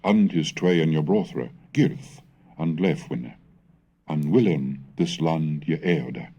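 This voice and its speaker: Deep, mellow-voiced man in scholarly recitation. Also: slow pace, slightly rough, highs cut off. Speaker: narrator of a history of English lesson. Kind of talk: scholarly scripted narration and recitation of old texts.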